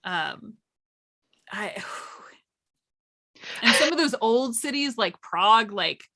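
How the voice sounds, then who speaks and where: A woman panelist talks casually in a bright, forward voice. She takes her time, pausing mid-sentence as she thinks through her explanation. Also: on the high side, expressive. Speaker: workshop panelist and game storyteller.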